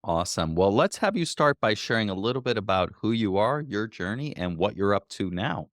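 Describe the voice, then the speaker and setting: A man's voice: fairly low pitch, sing-song, brisk, dry recording, clean studio sound. A man hosting a podcast interview, upbeat and encouraging as he prompts his guest. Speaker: podcast host. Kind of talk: interview conversation.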